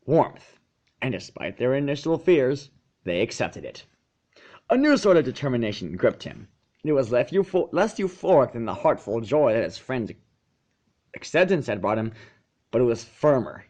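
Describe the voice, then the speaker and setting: Male storyteller, mid-pitched and highly animated, dramatic emphasis, expressive read-aloud narration. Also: lo-fi sound. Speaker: fan fiction narrator.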